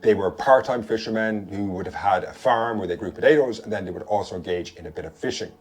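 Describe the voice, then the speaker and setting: A man from Ireland narrates a history video in a low voice. His delivery is clear, steady and explanatory, plainly read from a prepared script. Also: quick pace. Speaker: history presenter.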